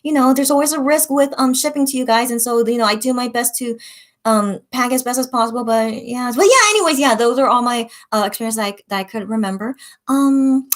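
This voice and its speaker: A young woman from the United States chatting casually while reading viewer comments, rambling with many fillers and restarts and often trailing off softly. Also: a little high, brisk. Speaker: online shop owner reading viewer comments. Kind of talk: casual livestream-style chat.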